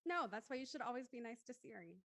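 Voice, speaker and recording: Woman, high voice, discussion host, effusive, appreciative, casual panel talk. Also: lively intonation, quick pace, fairly bright tone. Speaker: host of an online post-show discussion.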